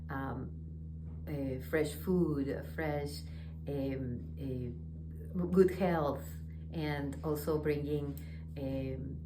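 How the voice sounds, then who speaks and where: Low-pitched woman from Ecuador, community organizer, halting interview answers, recorded far from the mic in an echoey, noisy room. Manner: hesitant, many fillers and restarts.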